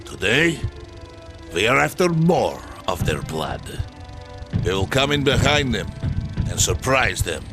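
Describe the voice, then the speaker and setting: An actor playing a stern, rousing Soviet army commander, giving an emphatic battle briefing in a video game. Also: male voice, on the low side, sing-song, measured pace, long pauses. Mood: grim, determined. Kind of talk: dramatic military briefing, video game cutscene. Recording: music behind the voice. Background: loud music.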